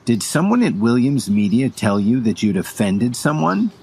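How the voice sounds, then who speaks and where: A man playing a calm, defiant young hero in an audio drama, speaking in a firm, controlled way. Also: medium pitch, normal speed, breathy edge. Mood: cool, confident.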